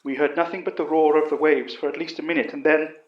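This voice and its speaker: An echoey, slightly muffled recording carries a man acting a composed British professor. His highish voice is measured and literary, narrating a horror tale with calm authority. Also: natural voice. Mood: grave storytelling. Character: calm, commanding British professor recounting a monster investigation. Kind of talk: dramatic first-person horror narration. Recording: dull, roomy recording.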